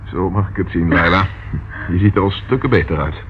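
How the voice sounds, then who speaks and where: An actor in a Dutch radio play playing a calm, protective police inspector, measured and firm. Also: male voice, low voice, close to an everyday voice. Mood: warm, serious. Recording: dull old radio recording with noise.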